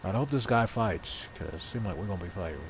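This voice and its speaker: A man's voice: lowish voice, expressive, quick pace, a little hiss or hum. A man giving joking commentary over a video game.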